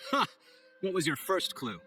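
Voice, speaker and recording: A man's voice: sits high, sing-song, moderate pace, pushed and bright, soft background music, heavily acted. A man playing a proud, hot-tempered young architect in a video game, speaking with theatrical, haughty exasperation. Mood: exasperated.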